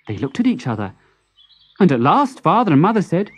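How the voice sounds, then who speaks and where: A woman reading a children's story expressively and deliberately, with dramatic pauses. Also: lowish voice, very animated, darkish tone, slightly rough.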